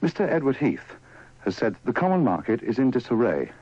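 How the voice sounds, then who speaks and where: A man's voice: mid-range voice, huge pitch swings, fairly quick, darkish tone, husky, no room sound. A British man reading the radio news in a formal style. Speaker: radio newsreader. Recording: dull, dry old radio broadcast.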